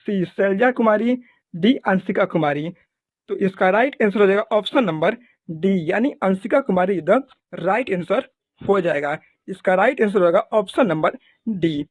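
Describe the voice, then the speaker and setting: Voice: medium pitch, animated, measured pace, no room sound. An exam prep teacher, methodically reading out quiz questions, options and answers in an instructional way. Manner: methodical, instructional, repetitive set phrasing.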